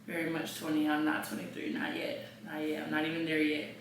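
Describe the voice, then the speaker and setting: A woman's voice: deep voice, completely flat delivery, brisk. A twenty-year-old woman chatting casually and candidly, repeating phrases for emphasis. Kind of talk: casual chat. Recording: roomy echo, distant mic, faint noise.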